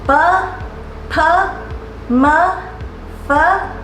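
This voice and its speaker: High-voiced woman teaching in an echoey room. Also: extremely slow, fairly bright tone. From Taiwan. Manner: upbeat, playful, drilling sounds clearly for repetition. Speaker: Mandarin teacher. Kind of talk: scripted language lesson. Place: living room at home. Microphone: camera at a distance, seated facing it. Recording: very echoey room, faint music.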